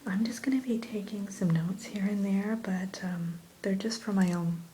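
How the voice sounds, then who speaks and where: A woman playing a kind, reassuring therapist in a whispered ASMR roleplay, speaking gently and attentively without hurry. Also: mid-range voice, a little hiss or hum, lightly acted. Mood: soothing, reassuring. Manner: soothing, gentle, attentive, unhurried. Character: kind, reassuring female therapist welcoming a new patient.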